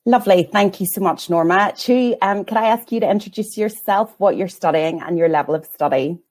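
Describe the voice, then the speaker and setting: A woman hosting a careers webinar panel, prompting each panelist politely and briskly. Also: medium pitch, darkish tone. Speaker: host, careers adviser.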